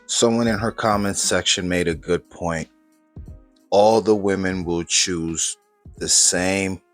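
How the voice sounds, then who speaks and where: A man's voice: low-pitched, no room sound, clean studio sound. A man commenting on video clips in a skeptical, matter-of-fact way. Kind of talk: reaction commentary.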